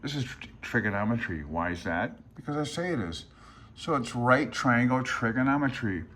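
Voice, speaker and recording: A man's voice: mid-range voice, expressive, normal speed, a bit bright, slight background noise. A man around his sixties giving casual, rambling commentary on a handbook as a hobbyist host, with joking, irreverent asides. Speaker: amateur radio video host.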